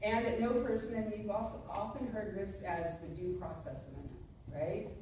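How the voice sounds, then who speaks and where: Woman, public speaker, emphatic explanation, rhetorical questions, very echoey room, loud background noise. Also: medium pitch, steady tone, moderate pace. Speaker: federal prosecutor giving a public presentation. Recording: distant, very echoey room, noisy live stream.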